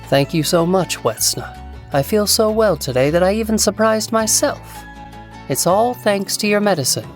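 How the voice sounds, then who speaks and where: Gentle, polite young prince, played by a woman. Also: mid-range voice, animated, moderate pace, faint music behind, natural voice. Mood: grateful. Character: gentle young prince, grateful recovering patient. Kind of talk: anime fan dub acting.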